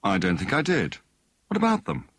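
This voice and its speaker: A man voicing a genial, encouraging young gentleman amateur detective in an audiobook story, speaking in a breezy conversational way. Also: medium pitch, wildly swooping pitch, normal speed, slightly rough, no echo, studio quality, full character voice. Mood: helpful.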